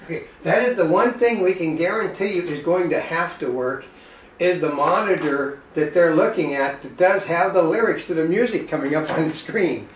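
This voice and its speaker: A man's voice: a little high, moderate pace, recorded from a distance, slight background noise. A man bantering casually on a live home cooking show, rambling with dry self-mocking jokes. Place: home kitchen, indoors. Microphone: distant camera mic. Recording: roomy kitchen sound from afar.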